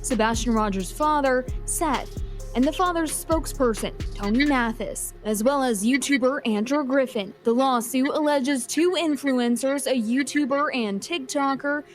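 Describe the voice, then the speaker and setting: A woman's voice: sits high, unhurried, soft background music. A woman reporter delivering scripted news narration in a formal reading style.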